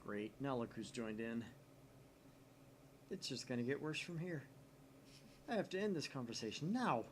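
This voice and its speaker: A man's voice: mid-range voice, lively intonation, normal speed, a little hiss or hum, natural voice. A man voicing a brash, self-important young man who brushes others off. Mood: impatient. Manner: brash, dismissive.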